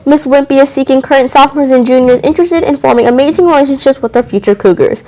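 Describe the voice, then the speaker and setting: A teenage girl anchoring a school news show, reading announcements briskly with occasional stumbles. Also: high-pitched, dark tone, low-quality recording. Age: teens.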